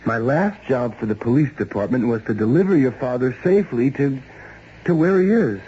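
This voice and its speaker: Actor as wry radio detective, dull old recording. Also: male voice, medium pitch, lively intonation, fairly quick, warm tone, slight background noise, natural voice. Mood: firm, matter-of-fact. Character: wry, steady male ex-police investigator. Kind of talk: 1970s radio drama acting and narration.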